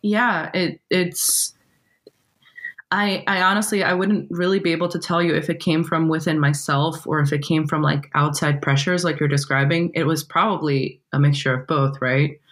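A woman's voice: deep voice, steady tone, moderate pace. A young woman from Brazil who speaks with an American accent, talking reflectively as a poet guest, with many "like" and "um" fillers. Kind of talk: casual interview conversation.

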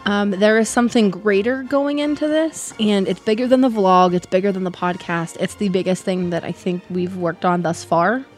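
Raspy-voiced woman co-hosting a chatty podcast. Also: mid-range voice, normal speed, hardly any pauses, darkish tone, soft background music. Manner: chatty, filler-heavy, upbeat. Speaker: podcast co-host. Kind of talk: casual chat.

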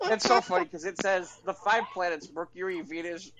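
A man's voice: high-pitched, brisk, a crowd in the background. A man co-hosting a casual podcast chat, joking and riffing on news items. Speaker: podcast co-host.

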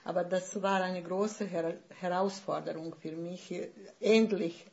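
A woman in her sixties from Bosnia, a writer speaking German with a Bosnian accent as a podcast guest, pausing often and searching for words. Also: lowish voice, steady tone, darkish tone, highs cut off. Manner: hesitant, searching for words, many fillers. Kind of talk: podcast conversation.

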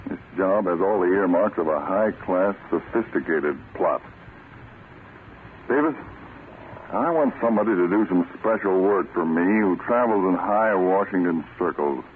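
Radio drama: calculating male intelligence chief, low voice, authoritative, thin vintage sound. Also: animated, moderate pace. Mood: businesslike. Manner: businesslike. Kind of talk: old-time radio drama acting. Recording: tinny vintage radio recording, light noise.

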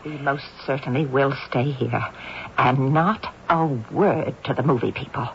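An actress playing a brisk, determined, polite woman homeowner in a radio drama. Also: rumbling low. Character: Miss Logan, practical woman homeowner. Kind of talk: 1970s radio drama acting. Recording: dull old radio recording, faint hiss.

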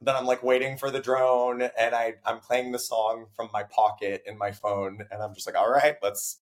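A young man, hoarse from laryngitis and a bit deeper than usual, telling animated stories in a casual interview and acting out his own quotes. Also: moderate pace. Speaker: singer-songwriter guest.

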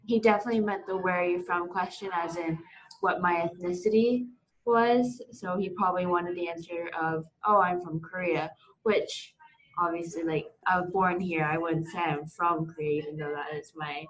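A woman's voice: on the low side, fairly even intonation, moderate pace, poor audio. A young woman on a discussion panel, telling a personal story casually with many fillers. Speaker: panel guest.

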